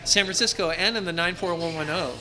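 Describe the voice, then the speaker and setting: A man in his fifties from New Jersey, telling his life story in a casual interview. He talks in a loud, brash, self-mocking way with lots of restarts. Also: high voice, over music. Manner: self-described loud and brash, halting with many restarts, self-deprecating humor. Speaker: interview guest.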